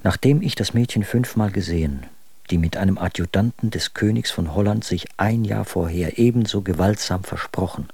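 Gravelly, embittered Romantic man of letters. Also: low-pitched, normal speed, a little mellow, no room sound, full character voice. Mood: bitter, brooding. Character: embittered, dismayed Romantic man of letters recounting his disastrous match. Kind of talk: radio drama monologue from old letters.

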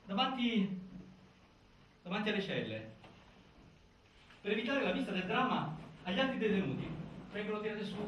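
Echoing, animated, indignant male narrator. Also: high-pitched. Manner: emphatic, halting, questioning, repeats words for weight. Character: indignant male narrator reconstructing a prison death. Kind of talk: dramatic stage monologue. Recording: echoey theatre hall from far off, faint noise.

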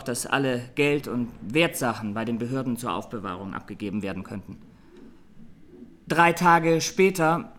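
Guy playing young haunted man on trial, radio drama, slow careful grave testimony, mid voice, noisy background. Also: medium pitch, fairly bright tone, natural voice. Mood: sombre recounting. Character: young Armenian defendant, haunted massacre survivor.

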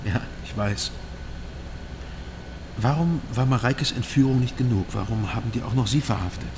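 An actor playing a cautious, sympathetic East German investigator in a radio drama, speaking in a guarded, hesitant way. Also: male voice, medium pitch, moderate pace, lightly acted. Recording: loud machinery noise behind.

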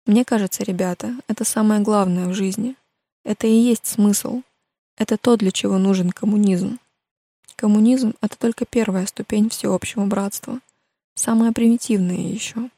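A woman's voice: mid-range voice, steady tone, normal speed, dark tone, no echo, lightly acted. A woman voicing an earnest, dreamy young idealist in an audiobook, speaking in declarations. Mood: earnest conviction.

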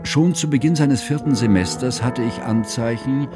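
An actor in a radio drama playing a devoted scholarly friend who narrates his memories in a measured, formal, reflective way. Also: mid-range voice, over music, natural voice. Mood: wistful, reflective.

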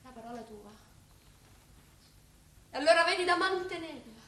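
A woman's voice: very high voice, lively intonation, moderate pace, bright, forceful tone, heavily acted. A woman acting in a Roman-dialect radio play as a devout, plain-spoken, stubborn working-class woman. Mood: pleading, solemn. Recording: echoey, distant, faint background noise.

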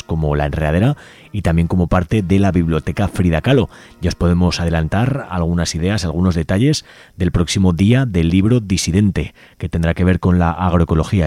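A man hosting a community radio program, presenting in a relaxed way and sometimes stumbling over words. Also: sits low, dry recording. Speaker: radio host. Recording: faint music bed behind.

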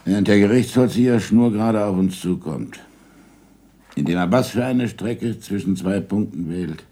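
An actor playing a gruff, impatient older scholar who teaches with deliberate, probing questions in a 1960s German radio drama. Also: male voice, fairly low pitch, dark tone. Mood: instructive, wry. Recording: noisy old radio drama recording.

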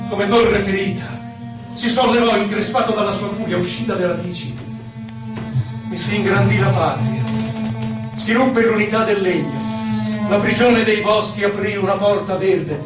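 A man giving a solemn, theatrical poetry recital for radio, stretching out his phrases dramatically. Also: high voice. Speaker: poetry performer. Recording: echoey distant recital under loud music. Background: loud music.

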